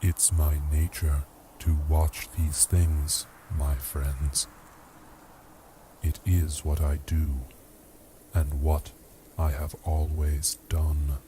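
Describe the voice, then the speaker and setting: A man voicing a mountain-sized ancient giant who speaks in a soft, hushed, solemn voice. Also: a bass voice, little pitch movement, noisy background, pitched below the performer's own voice, exaggerated voice. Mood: serene. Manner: slow, solemn, ponderous.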